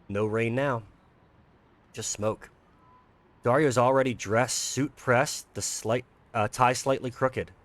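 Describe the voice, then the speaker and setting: A man's voice: mid-range voice, steady tone, slow. Man, mid-range voice, indie creator presenting and narrating his own story in a read-aloud style. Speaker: creator reading own fan-made visual novel. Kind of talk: casual intro, then dramatic read-aloud narration.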